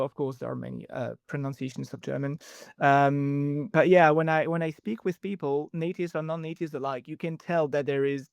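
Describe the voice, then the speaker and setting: Belgian man, French native, clear voice. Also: a little high, no room sound. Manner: measured, explanatory, with frequent ums. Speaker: guest. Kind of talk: podcast interview.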